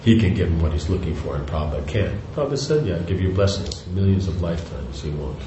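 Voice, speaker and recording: A man's voice: deep voice, lively intonation, fast. An older man giving a devotional lecture, talking in a loose, rambling way with many restarts and asides. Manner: rambling, conversational, frequent "you know" and restarts. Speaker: spiritual teacher. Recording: echoey room with faint noise.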